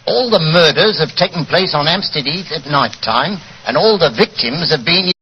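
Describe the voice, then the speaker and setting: A man acts out an earnest, hard-pressed English policeman in a vintage radio play. His slightly high voice rises and falls a lot. The recording is muffled and old. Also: lively intonation, normal speed, natural voice. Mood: urgent pleading. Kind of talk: 1940s radio drama acting. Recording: dull, noisy 1940s radio recording.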